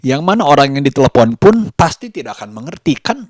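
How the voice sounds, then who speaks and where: A man talking casually as a vlogger, halting and deliberate, repeating phrases for emphasis. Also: mid-range voice, big pitch swings, no echo, studio quality. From Indonesia. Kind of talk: casual vlog monologue.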